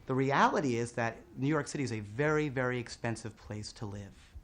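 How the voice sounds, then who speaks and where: A man with an average pitch talks in a plain, matter-of-fact way during an interview. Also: a little fast, a bit bright, slight background noise. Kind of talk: personal interview.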